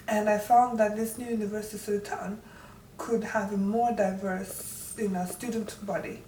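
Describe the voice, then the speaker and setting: A woman in her forties, from Uganda, answers interview questions at length in a reflective, storytelling manner. Her voice sits at a middle pitch. It is picked up from some distance in a roomy space with faint background hum. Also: steady tone, moderate pace, warm tone. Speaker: guest. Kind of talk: conversational interview.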